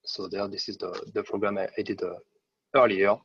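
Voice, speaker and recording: Halting low-voiced man on a muffled distant mic. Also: flat delivery, a bit bright, slightly rough, dry recording. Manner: halting, many fillers and restarts. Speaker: webinar presenter. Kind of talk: software demo presentation. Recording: dull, distant, dry sound.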